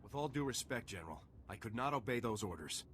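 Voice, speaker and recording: A man's voice: mid-range voice, lively intonation, quick pace, a bit bright, slight background noise, close to an everyday voice. A man playing a resolute, principled lieutenant in a video game, speaking firmly and earnestly. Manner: firm, earnest, controlled. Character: principled male soldier, a resolute young lieutenant. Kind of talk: scripted video game acting.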